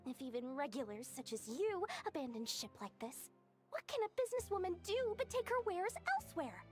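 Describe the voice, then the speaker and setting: A tiny, scheming female shopkeeper from a fantasy game. The voice is extremely high and swoops with lively, melodramatic flourishes, coy and wheedling, over game music. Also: moderate pace. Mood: huffy, aggrieved. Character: theatrical, wheedling, shrewd small female merchant in a fantasy game. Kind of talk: video game character acting.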